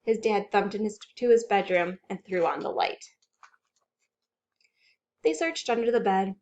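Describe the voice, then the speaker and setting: A woman's voice: mid-range voice, unhurried, a little mellow, highs cut off. A woman working as a children's librarian, reading aloud expressively with dramatic, suspenseful delivery. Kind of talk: animated children's story read-aloud.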